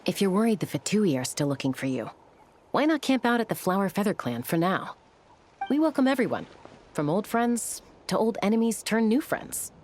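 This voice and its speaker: A woman's voice: mid-range voice, sing-song, normal speed, darkish tone, slight background noise, natural voice. A woman playing a friendly, encouraging young woman in a video game, informal and upbeat. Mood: reassuring.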